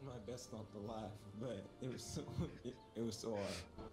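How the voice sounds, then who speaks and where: A young man with a very deep voice talks slowly and haltingly. He sounds shy among his friends. Loud game music plays under him. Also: fairly even intonation. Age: teen or young adult. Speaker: co-streamer. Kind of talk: casual gaming chat.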